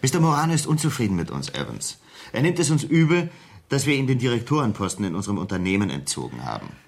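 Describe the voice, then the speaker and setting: An actor playing a vain, bitter and ruthless schemer, commanding and coolly self-assured, in a radio crime drama. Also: male voice, medium pitch, big pitch swings, quick pace. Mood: cool, controlled.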